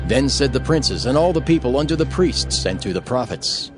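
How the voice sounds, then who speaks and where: A man with a medium-pitched voice narrates scripture formally and at a measured gait. Music plays clearly beneath the scripted reading. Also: expressive.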